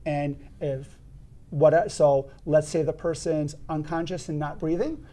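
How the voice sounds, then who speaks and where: Loud, emphatic man teaching CPR. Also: fairly high pitch, measured pace. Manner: frequent restarts while explaining. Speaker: CPR instructor. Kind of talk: instructional explanation and demonstration. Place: podcast studio.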